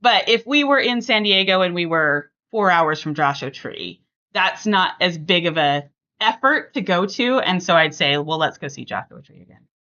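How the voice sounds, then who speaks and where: A woman hosting a casual family podcast, chatting conversationally and thinking out loud as she talks. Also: lowish voice, lively intonation, normal speed, highs cut off. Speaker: podcast host.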